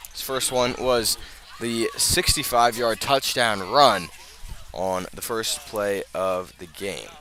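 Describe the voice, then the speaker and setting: Male American sports announcer, lower-pitched but very bright and forceful, live radio football play-by-play, outdoors at the stadium. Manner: measured scene-setting, rising to urgent calls.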